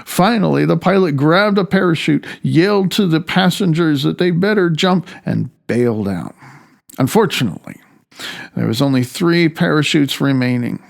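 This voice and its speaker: A middle-aged man reading his own nonfiction audiobook in a deliberate, measured manner with drawn-out emphasis. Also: on the high side, expressive, creaky.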